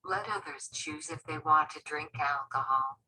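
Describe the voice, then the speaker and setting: Low, gravelly woman reading statements. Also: steady tone, moderate pace, pushed and bright, rough recording. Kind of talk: reading lesson statements aloud.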